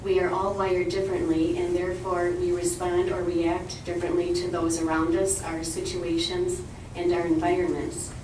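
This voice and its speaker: A soft-spoken woman presenting a program from prepared notes at a meeting. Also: fairly low pitch, level tone, moderate pace. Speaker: ministry representative. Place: meeting room. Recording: roomy, distant, noisy room recording.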